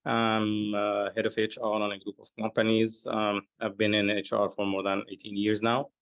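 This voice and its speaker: A man from Lebanon gives a steady, formal self-introduction as a student. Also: fairly low pitch, moderate pace, no room sound, dull audio. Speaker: student, head of HR.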